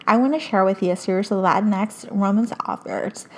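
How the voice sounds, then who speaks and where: A young woman from hosts a casual podcast, her Spanish. She talks eagerly, full of fillers and little restarts, at an ordinary mid pitch for a woman. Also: moderate pace, darkish tone. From Puerto Rico. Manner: enthusiastic, chatty, many fillers. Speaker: podcast host. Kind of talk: casual book recommendations.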